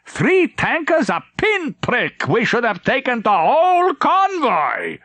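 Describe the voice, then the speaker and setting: A man's voice: extremely high pitch, wildly swooping pitch, takes it slowly, sharp tone, no room sound, pitched above the performer's own voice, heavily acted. A man voicing an enraged, contemptuous German admiral with a biting, imperious manner in an audiobook. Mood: furious, scornful.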